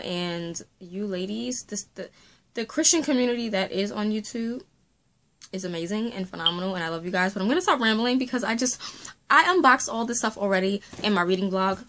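A woman's voice: lowish voice, a bit bright. A woman in her late twenties, a faith and beauty vlogger, talking casually to camera from a small room at home, rambling and gesturing, her throat sore after being sick. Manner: rambling, talks with her hands. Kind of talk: casual chatty vlog to camera.

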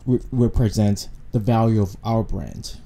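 A hoarse man in casual podcast chat on a couch, speaking thoughtfully with pauses and fillers into a handheld mic. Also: on the low side, dark tone. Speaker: podcast co-host. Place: living room couch. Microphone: handheld mic close to mouth.

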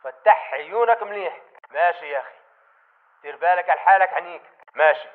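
An actor playing a ruthless militant fighter in a video game, speaking Syrian Arabic. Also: male voice, high-pitched, quick pace, phone-quality sound, close to an everyday voice. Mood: gruff, businesslike. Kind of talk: video game battle dialogue.

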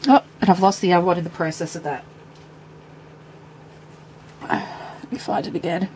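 A woman's voice: on the low side, steady tone, normal speed, darkish tone, a little hiss or hum, poor audio. A woman with a stuffy, nasal voice from a cold, chatting casually while she shows her pets to a handheld camera at home. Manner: chatty, explains as she handles the animals. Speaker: pet keeper showing her animals. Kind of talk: casual show-and-tell vlog. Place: indoors at home, by a terrarium.

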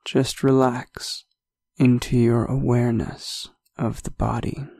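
A man leading a guided meditation, speaking slowly and softly with long pauses between phrases. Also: mid-range voice, little pitch movement, vocal fry, dry recording, clean studio sound. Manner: slow, soft-spoken, with long pauses.

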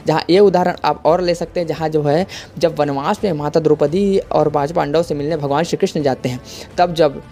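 A man's voice: on the high side, fairly even intonation, fairly quick, few pauses, mellow. An Indian man hosting a religious explainer voice-over in an earnest, didactic, repetitive manner. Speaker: YouTube explainer host. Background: music.

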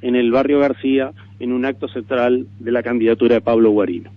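A tinny, narrow radio signal carries a Uruguayan man's mid-pitched voice. He explains his points as an interviewee, deliberately, repeating words for emphasis. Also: flat delivery. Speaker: political interviewee.

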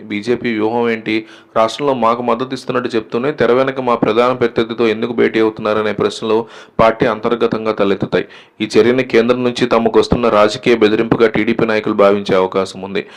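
A man delivering scripted political news commentary in a formal presenter's manner. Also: medium pitch.

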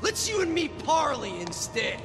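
An actor playing a manic male war boss in a game, shouting unhinged taunts from a distance. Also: extremely high pitch, huge pitch swings, a little slow, over music, heavily acted. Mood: taunting.

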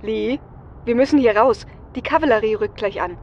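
Woman doing cheeky hacker lady in audio drama, higher voice, muffled lo-fi sound. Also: moderate pace, darkish tone, natural voice. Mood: urgent. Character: flippant female hacker watching over a heist team. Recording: dull, noisy audio.

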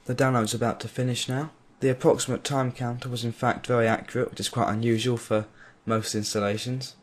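A man giving a clear step-by-step voice-over for a software tutorial. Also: mid-range voice, flat delivery, brisk. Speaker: tutorial creator. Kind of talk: screen-recorded software tutorial.

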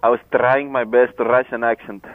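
A man's voice: mid-range voice, flat delivery, moderate pace, no room sound. A man with an Eastern European accent, chatting and joking casually with a friend as a sweary motorcycle vlogger. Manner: swearing freely, jokey. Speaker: motorcycle rider hosting a vlog. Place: outdoors riding a motorcycle. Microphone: helmet headset. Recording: tinny, phone-like helmet intercom with road noise.

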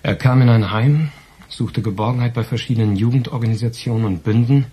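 A man's voice: medium pitch, fairly quick, warm tone, slight background noise, natural voice. An actor playing a dutiful police officer in a radio crime drama, reporting to his chief in a dry, official manner. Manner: dry, official, matter-of-fact. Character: dutiful male police subordinate, matter-of-fact and loyal. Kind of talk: naturalistic German radio crime drama acting.

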